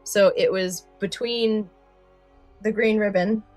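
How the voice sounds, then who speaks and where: A woman's voice: mid-range voice, fairly even intonation, takes it slowly, faint music behind. A woman co-hosting a podcast in casual chat, recounting favourite stories and often correcting herself. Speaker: podcast co-host.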